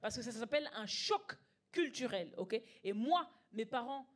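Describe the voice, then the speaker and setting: A woman's voice: fairly high pitch, animated, moderate pace. A woman tells stand-up stories in an emphatic, conversational way, acting out dialogue. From Belgium. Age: early 20s. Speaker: stand-up comedian. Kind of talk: live stand-up storytelling. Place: comedy club stage. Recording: live stage with audience laughter. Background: audience laughing.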